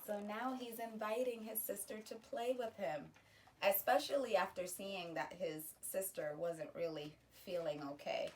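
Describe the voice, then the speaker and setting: A woman reading a children's picture book aloud, pausing to explain the story to young listeners. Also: medium pitch, steady tone, recorded from a distance, a little hiss or hum. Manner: slow, gentle storytime delivery with explanatory asides. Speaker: children's read-aloud host.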